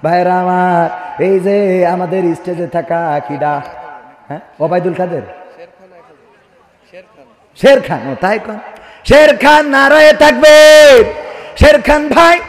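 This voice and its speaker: Young bangladeshi guy preaching waz, really high voice, half chanting, asking for donations n responses, big echoey reverb. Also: slow, long pauses. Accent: Bangladeshi Bengali. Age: young adult. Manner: loud declamatory delivery slipping into singsong chant and asking the crowd for responses. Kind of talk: waz sermon with donation appeals and prayers. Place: stage at a religious gathering. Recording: long hall reverb from amplified sound system.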